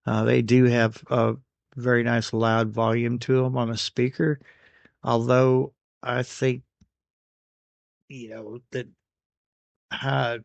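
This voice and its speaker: An American man recording an unscripted product review voice-over, speaking in a halting way with pauses between phrases. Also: medium pitch, flat delivery, vocal fry, no room sound, dull audio. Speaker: radio gear reviewer.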